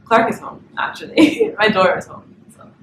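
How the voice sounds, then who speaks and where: A woman's voice: medium pitch, sing-song, moderate pace, over music. A young woman from Barbados, chatting about home as an international student and filling her talk with "like". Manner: chatty, fills speech with "like". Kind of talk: documentary interview. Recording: echoey room with clear noise.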